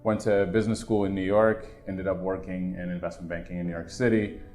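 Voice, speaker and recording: A man, born in Ethiopia, introducing himself in a relaxed, reflective way. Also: low voice, brisk, soft background music. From Ethiopia, raised in the Washington DC area. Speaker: entrepreneur, angel investor. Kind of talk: casual self-introduction. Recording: echoey room.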